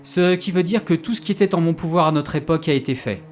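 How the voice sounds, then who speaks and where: A man's voice: a little high, fast talker, music in the background, close to an everyday voice. A man playing a gloomy, wry time traveller in an audio drama, easily exasperated and given to hesitant explanations. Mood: grave, resigned. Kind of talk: French audio drama acting.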